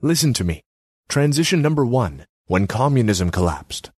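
Man voicing haughty young female artist, high, preachy, dry close sound. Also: very animated, normal speed, a little mellow, husky, no echo. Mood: haughty lecturing. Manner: scornful, lecturing, self-important.

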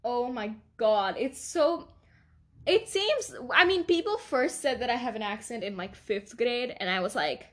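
A woman with an accent talks through a casual solo podcast, her voice sitting fairly high. She takes her time, wandering between thoughts and breaking into laughter at her own stories. The tone is loose and self-mocking. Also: animated, measured pace. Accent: non-native accent. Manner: rambling, self-deprecating, laughs often. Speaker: podcast host.

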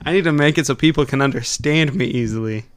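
A young man with a Midwestern Wisconsin accent who rambles and corrects himself as he co-hosts a chatty podcast. His voice is smooth and clear, recorded close and dry with no room sound. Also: on the high side, no room sound. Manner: rambling, self-correcting, quick to laugh. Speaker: podcast co-host. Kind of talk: casual chat.

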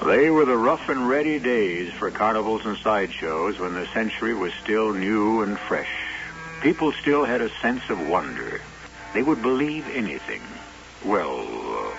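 Gravelly radio drama host, wry and measured, dull broadcast sound with music. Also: male voice, on the low side, very animated. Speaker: host narrator.

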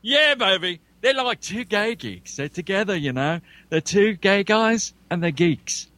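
A man acts out a cheeky, brash swinger in a comic bit. The voice sits high for a man and rings bright and forward, with a playful swagger. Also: expressive, measured pace, no echo, full character voice.

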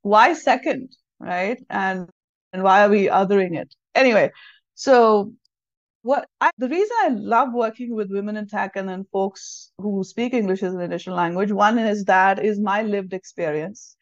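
Interview guest: Indian-accented woman, mid-pitched, thoughtful explainer, dry but muffled low-fidelity sound. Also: moderate pace, dry recording. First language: Hindi. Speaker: podcast guest, English language teacher and trainer. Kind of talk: conversational interview answers. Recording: dull lo-fi audio.

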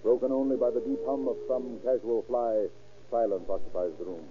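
A man narrating a scripted 1930s radio crime show in a formal, measured, dramatic manner with a husky voice. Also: medium pitch, fairly even intonation. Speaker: narrator and announcer. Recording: dull old radio recording.

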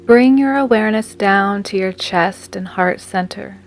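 Woman doing guided meditation, really slow and soothing, music playing behind her. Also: mid-range voice, creaky. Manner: slow, soothing, measured delivery with long pauses. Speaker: meditation guide and podcast host. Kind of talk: guided meditation, scripted narration.

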